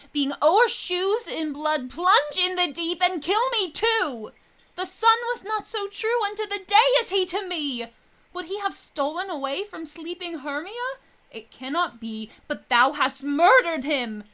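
A woman's voice: sits high, lively intonation, measured pace, bright, forceful tone, heavily acted. A woman playing a fierce, distraught young woman in love, impassioned and accusing in Shakespearean radio play verse. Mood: bitter accusing.

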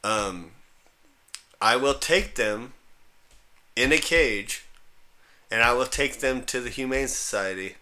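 A male podcast co-host with a bright, forward voice at a middling pitch. He rambles through anecdotes in a blunt, salty, joking way. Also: takes it slowly, long pauses. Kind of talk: casual comic banter and rambling anecdotes.

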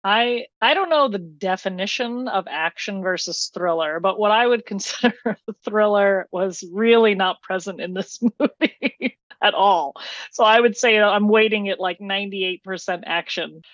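A woman speaks as a podcast guest in a casual, conversational way. Her pitch is average for a woman. The recording is dry and a little muffled. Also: moderate pace, fairly bright tone, no room sound. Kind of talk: casual chat.

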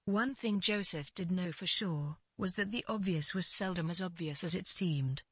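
Husky low-voiced woman narrating fiction. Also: moderate pace, fairly bright tone, no room sound, clean studio sound. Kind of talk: scripted literary fiction narration.

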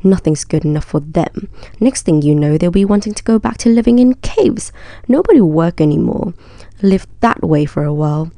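A woman's voice: fairly low pitch, animated, moderate pace, mellow, no echo, clean studio sound. A woman reading aloud as a petulant, scornful old village man in a story.

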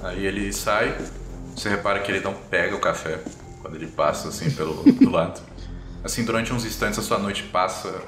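A man's voice: low voice, fairly quick, a bit bright. A man with a Brazilian accent improvising tabletop RPG narration as game master, setting scenes piece by piece. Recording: roomy echo, music underneath. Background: background music.

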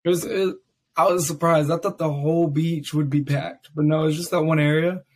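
Raspy young man hosting a podcast. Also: on the high side, steady tone, fairly quick, darkish tone. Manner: loose and rambling, many fillers. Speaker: podcast host. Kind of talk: casual chat.

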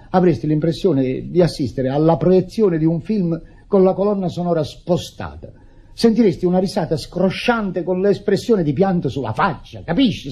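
An actor playing an indignant, self-pitying widower in a radio drama, declamatory and stammering in agitation. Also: male voice, fairly high pitch, mellow, husky. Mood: bitter, lamenting. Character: indignant grieving widower, theatrical, self-pitying, berating his sister. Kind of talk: Italian radio drama acting. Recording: dull lo-fi old radio recording.

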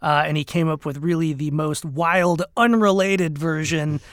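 A man's voice: on the high side, fairly even intonation, normal speed, fairly bright tone, clear, resonant tone, no echo, studio quality. A man co-hosting a podcast, chatting casually and rambling a little with fillers. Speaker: podcast co-host.

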